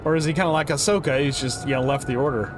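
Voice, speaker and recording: A man's voice: medium pitch, quick pace. A man hosting a reaction video, giving chatty, enthusiastic and casual fan commentary. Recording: music behind the voice.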